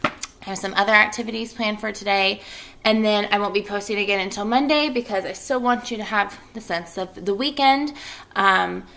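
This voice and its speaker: Lady teacher talking n reading to kids, bright voice w lots of fry, medium pitch. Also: moderate pace, low-quality recording. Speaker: elementary school teacher. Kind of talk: children's read-aloud and casual class announcements.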